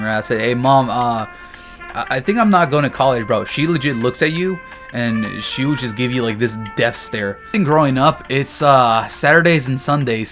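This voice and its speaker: A man's voice: mid-range voice, fairly bright tone. A young man from Texas chatting casually and jokingly to his viewers, in loose, slangy storytelling. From Texas, United States. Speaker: vlogger. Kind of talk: casual comedic chat.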